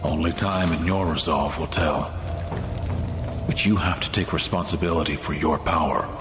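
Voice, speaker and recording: An actor playing a seasoned warrior mentor in a dark fantasy game, solemn and admonishing. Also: male voice, a bass voice, steady tone, normal speed. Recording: roomy echo, music behind.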